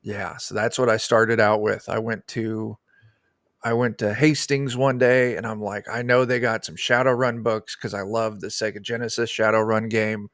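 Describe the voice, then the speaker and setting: A man's voice: on the low side, little pitch movement, moderate pace, fairly bright tone, no echo. Jokey man, dry close sound. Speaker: guest, streamer. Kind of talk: casual chat. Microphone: close desk microphone on a video call.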